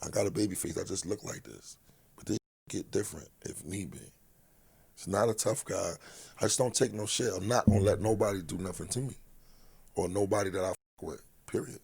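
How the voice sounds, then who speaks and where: A middle-aged man from Philadelphia speaks as an interview guest in a big, low voice. His tone is gravelly and husky, and he talks bluntly and profanely, in a deliberate way. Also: a little mellow. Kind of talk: casual interview talk.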